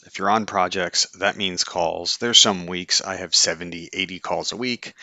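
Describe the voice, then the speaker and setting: A man's voice: low voice, sharp tone, no room sound. A man hosting a light-hearted explainer in a conversational, informal way, with casual asides. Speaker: host. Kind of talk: light-hearted explainer, semi-scripted talk.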